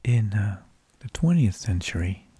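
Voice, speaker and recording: A man whispering an ASMR narration in a hushed, breathy, gravelly voice, unhurried, with drawn-out phrases and pauses, close to the microphone. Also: lowish voice, expressive, no room sound. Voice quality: breathy, mostly unvoiced whisper with gravelly creak. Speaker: ASMR host.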